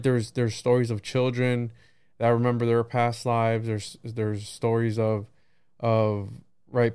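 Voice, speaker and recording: Smooth clear guy on a podcast, middle pitch, kinda rambly and musing, casual chat. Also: darkish tone. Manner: rambling, musing, peppered with right and you know. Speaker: podcast host. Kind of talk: casual podcast conversation on esoteric ideas.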